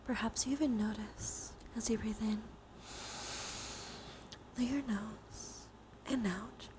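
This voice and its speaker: A woman leading a guided ASMR relaxation, speaking softly and mostly in whispers, with a soothing, gentle manner. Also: a little high, slow. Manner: soft, mostly whispered delivery. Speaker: live streamer leading relaxation exercises. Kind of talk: ASMR guided breathing and body scan with chat asides. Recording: clear background noise.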